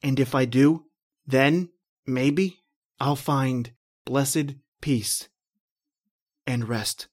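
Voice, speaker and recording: A man's voice: high voice, lively intonation, unhurried. Male actor for a ghostly narrator, old radio drama, intense and desperate. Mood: mournful. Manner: intense, rising to panicked shouting. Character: terrified man narrating his own death.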